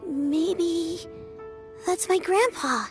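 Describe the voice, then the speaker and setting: A woman's voice: very high voice, extremely slow, heavily acted. A woman voicing an earnest, curious young girl in a video game, with a tentative, youthful delivery. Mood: thoughtful. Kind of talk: English video game voice acting. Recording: faint music under the voice.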